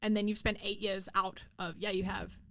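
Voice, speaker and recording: A woman's voice: medium pitch, level tone, moderate pace, a bit bright. A young woman co-hosting a podcast, chatting casually, laughing often and using lots of filler words. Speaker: podcast co-host. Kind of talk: casual chat.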